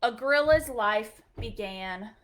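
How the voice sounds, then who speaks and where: A woman's voice: fairly high pitch, lively intonation, a little slow, a bit bright. A woman hosting a storytime, reading a picture book aloud expressively for children, with short chatty asides.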